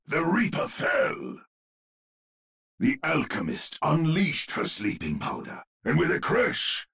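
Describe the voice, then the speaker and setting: An actor playing a grim narrator of gothic horror tales in a video game, with a gravelly, husky voice and grandiose, suspenseful delivery. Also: male voice, lowish voice, full character voice. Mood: dramatic. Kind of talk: theatrical gothic horror storytelling.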